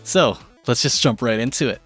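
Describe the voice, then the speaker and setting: Faint music plays under a man presenting an art tutorial. His mid-pitched voice follows a loose script, and he keeps the delivery casual, slipping in playful, tongue-in-cheek remarks. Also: normal speed, a bit bright. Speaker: video show host, art tutorial presenter.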